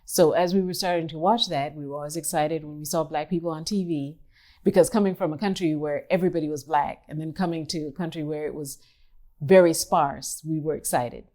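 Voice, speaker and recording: A woman from Kenya in her fifties, an artist who speaks thoughtfully in an interview, pausing and rephrasing as she goes. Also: low-pitched, fairly even intonation, mellow. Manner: thoughtful, measured, with pauses and restarts. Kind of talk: reflective interview talk.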